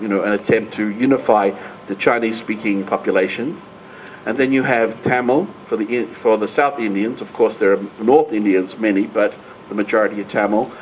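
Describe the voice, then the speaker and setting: A man's voice: on the low side, a little hiss or hum, low-quality recording. An older man from Australia, speaking on a panel at a book launch, hesitant and full of fillers. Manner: hesitant, many fillers and restarts. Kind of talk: public panel talk.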